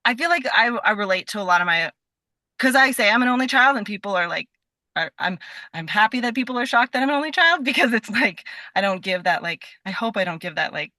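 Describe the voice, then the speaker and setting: A woman's voice: mid-range voice, lively intonation, fast, sharp tone, dry recording. A young American woman from Nevada chatting casually as a podcast guest, effusive and rambling, with lots of "like" in her sentences. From Nevada, United States. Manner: effusive, rambling, peppers talk with "like". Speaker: podcast guest, stage and voice actor. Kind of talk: casual chat.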